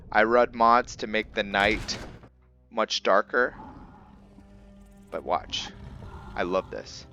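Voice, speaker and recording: Slow, bright-voiced man doing game commentary. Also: fairly low pitch, dull audio. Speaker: gaming video host, roleplayer. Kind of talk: casual let's play commentary.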